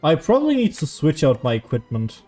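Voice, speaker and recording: A man's voice: a little high, wildly swooping pitch, fairly quick, mellow, soft background music. A man casually streaming a video game, musing aloud as he comments to his chat. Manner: musing aloud, hums to self.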